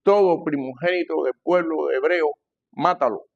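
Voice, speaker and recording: An older man with a Cuban Spanish accent preaching to a congregation, emphatic and repeating phrases, swinging between loud and hushed delivery. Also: a little high, moderate pace, gravelly, muffled recording. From Cuba. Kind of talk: sermon, teaching a church congregation.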